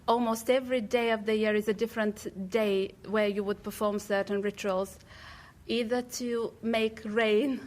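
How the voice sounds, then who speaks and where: A woman, a choir leader explaining her craft in an interview. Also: mid-range voice.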